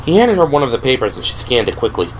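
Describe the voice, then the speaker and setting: A man's voice: mid-range voice, sing-song, fast talker, a little hiss or hum, low-quality recording. A man reading scripted third-person narration for an audio drama. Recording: music behind the voice.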